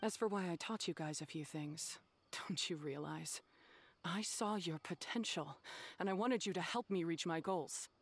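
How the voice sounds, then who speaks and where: Stern young game rival played by a woman: lowish female voice, resolute, formal, coolly disdainful. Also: moderate pace, a little hiss or hum, lightly acted. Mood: cold, resolute. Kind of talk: video game voice acting.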